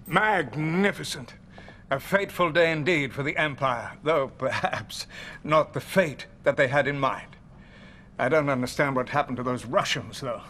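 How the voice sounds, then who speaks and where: An actor playing a middle-aged American president who gives orders and sounds exasperated. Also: male voice, medium pitch, moderate pace, a bit bright, a little hiss or hum, close to an everyday voice. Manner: commanding, exasperated.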